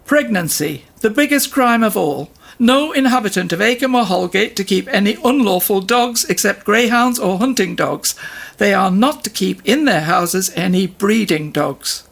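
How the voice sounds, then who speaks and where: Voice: mid-range voice, expressive, moderate pace, gravelly, a bit bright. An actor as a pompous, pedantic sheriff. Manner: formal, pompous, legalistic. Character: officious, pedantic, humourless sheriff of old Acomb. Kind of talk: audio drama dialogue.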